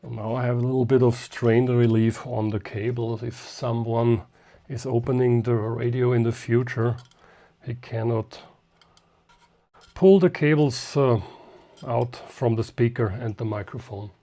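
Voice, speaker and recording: A man giving an unscripted hands-on demonstration as a hobbyist, talking in short, halting phrases as he works, partly muttering to himself. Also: medium pitch, fairly even intonation, dark tone. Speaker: hobbyist host of radio repair videos.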